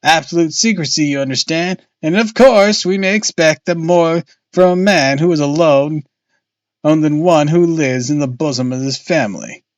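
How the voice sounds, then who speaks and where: A man voicing a thin, brisk colonel in a detective story, secretive, suspicious and insistent. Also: on the high side, no room sound, muffled recording, natural voice.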